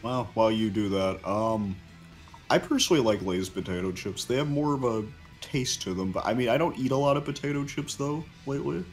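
A man's voice: medium pitch, fairly quick, soft background music, close to an everyday voice. A man voicing a level-headed cartoon ninja chameleon, dry and placating, hesitating as he banters off the cuff. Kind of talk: improvised in-character banter.

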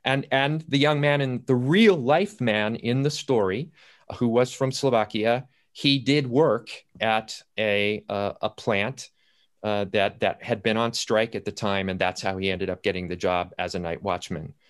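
Low-voiced man telling stories on a panel. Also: fairly even intonation, a little slow. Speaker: playwright on a discussion panel. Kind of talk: anecdotal panel talk about writing. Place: indoors at home, on a video call. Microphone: computer video-call audio.

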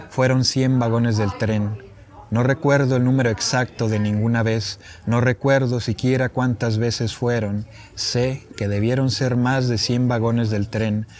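A man's voice: mid-range voice, a little mellow. A young man with a northern Mexican accent, a poet introducing and reciting his own work on an open patio. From Chihuahua, Mexico. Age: young adult. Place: open patio with wooden furniture.

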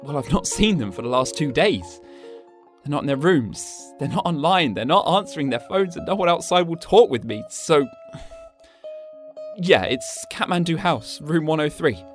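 A man's voice: fairly high pitch, lively intonation, moderate pace, close to an everyday voice. A man playing an anxious, easily rattled young exchange student in a radio drama, exclamatory and agitated. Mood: worried. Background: music.

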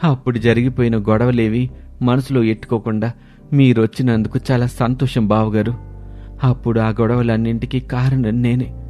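A man's voice: mid-range voice, flat delivery, moderate pace, mellow, natural voice. A male storyteller playing a polite middle-aged village father, respectful and explanatory. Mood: conciliatory. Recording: background music under voice.

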